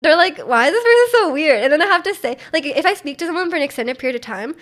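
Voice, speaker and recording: Young woman streamer from Canada, high voice, casual giggly rambling chat. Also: expressive, quick pace, fairly bright tone. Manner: rambling, giggly, many fillers and restarts.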